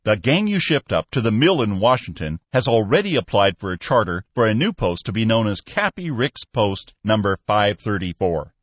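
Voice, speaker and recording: A man's voice: fairly low pitch, big pitch swings, moderate pace, hardly any pauses, dry recording, pitched above the performer's own voice. A stiff, humorless office manager with a firm, raised voice, clipped and complaining, as a male narrator puts him on. Recorded close and dry. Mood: indignant.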